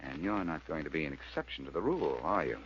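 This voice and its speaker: An actor playing a cool, quick-witted reformed crook turned sleuth in a 1940s radio drama, wry and glib. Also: male voice, very deep voice, very animated, a bit bright, exaggerated voice. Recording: muffled, hissy old radio recording.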